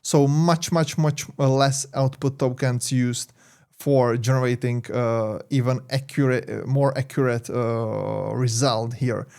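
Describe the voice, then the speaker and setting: A man's voice: mid-range voice, steady tone, a little slow, creaky, darkish tone, clear, resonant tone. A man with a Czech accent, a tech YouTuber talking casually over his screen, rambling with many fillers and self-corrections. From Czech Republic.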